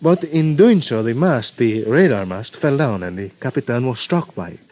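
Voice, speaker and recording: A courteous Mexican doctor played by a male actor speaks formally and haltingly in a Mexican accent. His husky voice sits at a medium pitch, in old radio drama style on a dull recording. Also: lively intonation, close to an everyday voice. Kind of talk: 1950s radio acting.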